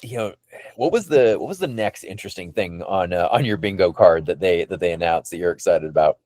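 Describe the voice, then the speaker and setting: A man's voice: deep voice, animated, brisk, a little mellow, no room sound, studio quality. A man hosting a podcast in casual fan chat, enthusiastic and effusive, rambling and circling back over his phrases. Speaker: podcast host.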